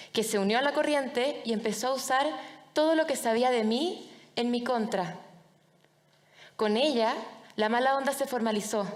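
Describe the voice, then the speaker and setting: A young woman with a Chilean accent gives a personal storytelling talk. Her voice sits fairly high. She speaks in short, deliberate phrases with dramatic pauses, and the sound carries the long reverb of a large hall. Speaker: public speaker, anti-bullying foundation director.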